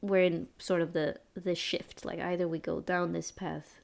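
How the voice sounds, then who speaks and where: A woman's voice: on the low side, little pitch movement, unhurried, vocal fry. A young woman from Sweden running a tabletop role-playing game as game master, chatting casually with frequent laughs and restarts.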